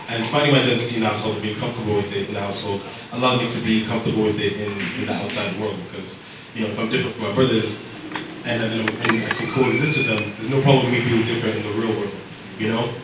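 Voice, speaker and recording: A young man in his twenties from Zambia telling his life story to an audience in a relaxed, halting way with many fillers. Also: lowish voice, noisy background. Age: 20s. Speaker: speaker at a storytelling event. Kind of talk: personal storytelling talk. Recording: echoey room picked up from a distance.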